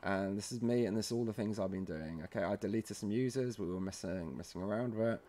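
A man with a fairly low voice presents a screen-recorded software tutorial. He talks informally and a bit haltingly, guiding the viewer through each step. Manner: informal, halting, often checking in with "okay".

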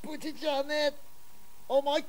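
An actor playing a rough-talking teenage boy with a brusque, complaining manner in an audio drama. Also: very high voice, fairly even intonation, measured pace, slight background noise. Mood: grumbling. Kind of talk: Japanese audio drama acting.

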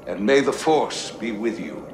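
A solemn old sage leaving a recorded message in a video game. He is stately and deliberate, very slow, in an echoing chamber with clear ambient noise. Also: fairly low pitch, animated. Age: older. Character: wise, solemn old Jedi master as hologram recording. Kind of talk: game cutscene acting, solemn monologue.

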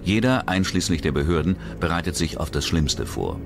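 A man giving a measured, grave scripted narration for a crime documentary. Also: low-pitched, very animated, creaky. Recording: voice-over over loud music.

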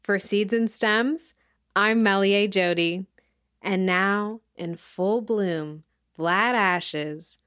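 A woman playing a solemn documentary podcast host, delivering scripted narration in a measured way. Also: medium pitch, fairly bright tone. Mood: reflective. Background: music.

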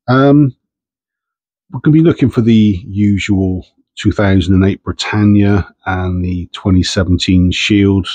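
A man's voice: sits low, a little slow, a little mellow, slightly rough, dry recording. A man with a head cold, sniffing as he chats casually while sorting through coins for his channel. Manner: chatty, understated, self-deprecating. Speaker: coin-hunting channel host.

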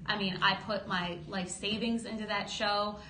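A woman in her thirties, a boxing promoter, telling her story in a lively podcast interview at a close studio mic. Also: mid-range voice, normal speed. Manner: lively storytelling, gestures while talking, acts out quotes. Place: small studio office, indoors. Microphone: studio boom mic close up. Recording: roomy studio with faint hum.

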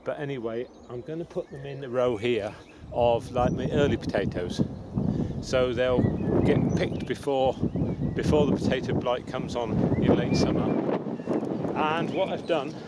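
A middle-aged man giving a casual, unhurried, matter-of-fact gardening vlog demonstration in a rambling way. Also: mid-range voice. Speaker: allotment gardener presenting own video. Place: outdoors on an open allotment plot. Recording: outdoor background noise with birdsong.

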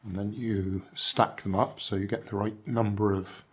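A man's voice: lowish voice, little pitch movement, moderate pace. An older man in casual show-and-tell narration, chatting dryly about charity shop finds while handling them at a table. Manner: dry, chatty, musing asides. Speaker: vlog host reviewing charity shop finds. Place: indoors at a table, hands on camera.

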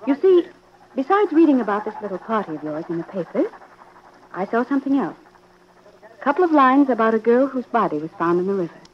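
Spurned scheming young lover, dramatic radio delivery, raised pitch, muffled vintage sound. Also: female voice, sing-song, a little fast. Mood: threatening. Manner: melodramatic, pointed. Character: desperate, jilted, scheming young woman in love. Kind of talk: old-time radio acting. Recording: dull, noisy old radio recording.

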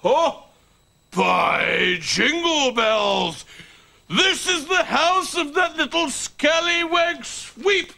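A man playing a jolly old Father Christmas for a children's show, with hearty, drawn-out theatrical calls. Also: very high-pitched, lively intonation, vocal fry, sharp tone, pitched above the performer's own voice, exaggerated voice. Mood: jolly, calling out. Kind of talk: children's television pantomime-style acting.

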